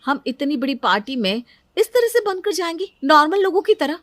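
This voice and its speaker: A woman's voice: high voice, very animated, normal speed, a bit bright, pitched above the performer's own voice. A woman voicing a put-upon, envious elder daughter-in-law in a cartoon story, plaintive and pointed. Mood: envious, sarcastic. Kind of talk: Hindi cartoon story dialogue.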